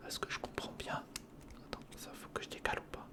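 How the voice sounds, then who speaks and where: A man whispering an informal ASMR narration of hands-on work, thinking aloud and softly repeating words, close to the microphone. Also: fast talker. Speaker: ASMR video creator. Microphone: close recorder or studio microphone.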